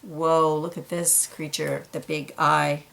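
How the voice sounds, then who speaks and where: A woman reading a children's picture book aloud, pausing for quiet asides about the pictures. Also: deep voice, flat delivery, gravelly. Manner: slow, gentle, pointing out pictures in quiet asides.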